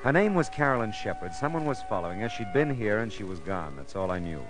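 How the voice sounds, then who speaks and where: An actor playing a world-weary, wisecracking private detective in a classic radio drama, speaking and narrating with a wry, hardboiled delivery. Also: male voice, on the low side, sing-song, quick pace, music in the background, rough recording. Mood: matter-of-fact, pensive.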